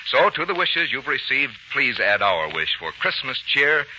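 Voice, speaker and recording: A man's voice: mid-range voice, very animated, normal speed, pushed and bright, slight background noise. A man working as a formal, polished radio announcer, reading a script in 1940s broadcast style. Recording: muffled old radio broadcast recording. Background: music.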